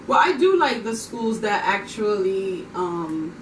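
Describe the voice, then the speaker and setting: A woman's voice: medium pitch, very animated, slow pace. A woman from Haiti, a community advocate, chatting casually as a guest and laughing easily. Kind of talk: casual conversation. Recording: echoey room, distant mic, noisy.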